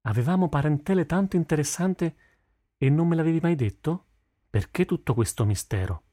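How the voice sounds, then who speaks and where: A man's voice: fairly high pitch, lively intonation, normal speed, dark tone, no room sound, clean studio sound, pitched above the performer's own voice. A man voicing a stubborn, fiery thirteen-year-old girl in an audiobook, with an insistent, youthful manner. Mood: eager, curious. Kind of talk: audiobook character voice.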